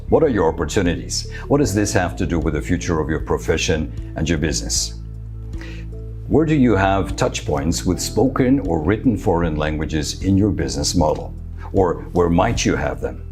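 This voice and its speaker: Male presenter, native Serbo-Croatian speaker, very low voice, measured scripted delivery, faint music under it. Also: darkish tone. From Serbia. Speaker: futurist presenter. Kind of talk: scripted talk to camera.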